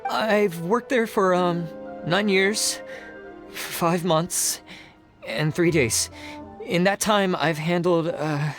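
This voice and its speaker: A man's voice: sits high, takes it slowly, fairly bright tone. A man playing a timid, awkward, meticulous civil servant in a video game, hesitant and pedantically precise. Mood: nervous. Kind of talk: video game character acting. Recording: game music playing faintly behind.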